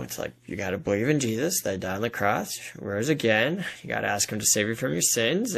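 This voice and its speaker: Low-pitched man telling a personal story. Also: creaky. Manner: informal, conversational, frequent "like". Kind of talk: casual personal storytelling in an interview.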